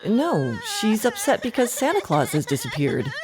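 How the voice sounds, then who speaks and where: Earnest nurse: polite, hesitant, animated pitch, for a comic audio drama. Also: female voice, normal speed, noisy background. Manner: prim, courteous, hesitant. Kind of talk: comedy audio drama acting.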